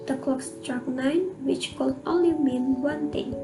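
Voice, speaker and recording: A woman's voice: high voice, measured pace, low-quality recording. A young woman narrating a radio drama in a scripted storybook style. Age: young adult. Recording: music playing under the voice. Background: instrumental music.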